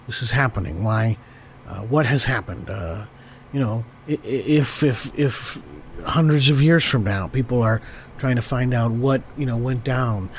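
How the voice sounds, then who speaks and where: A man with a cold, hoarse and stammering, hosting an improvised comedy podcast and constantly correcting himself. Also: mid-range voice, a little hiss or hum. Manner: rambling, stammering, self-correcting. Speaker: podcast host.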